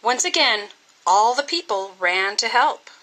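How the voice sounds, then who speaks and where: A woman reading a children's story aloud in an expressive, scripted style with dramatic, deliberate phrasing. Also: a little high, rough recording. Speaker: storybook reader.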